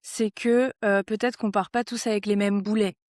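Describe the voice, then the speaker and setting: A young woman hosting a casual podcast chat, relaxed and agreeable, often chiming in. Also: medium pitch, normal speed, no room sound, clean studio sound. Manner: relaxed, agreeable, frequent backchannels. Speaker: podcast host.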